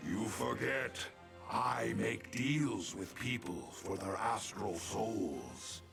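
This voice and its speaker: Casting: a laid-back demon for a game, very gravelly, slow and casual, loud music underneath. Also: male voice, lowish voice, expressive, full character voice. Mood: nonchalant. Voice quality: gravelly, creaky.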